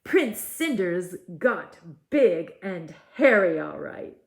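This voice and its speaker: A woman teacher reading a storybook aloud to young children in an expressive, deliberate way, with simple asides. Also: on the low side, vocal fry. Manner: deliberate, animated, simple child-directed phrasing.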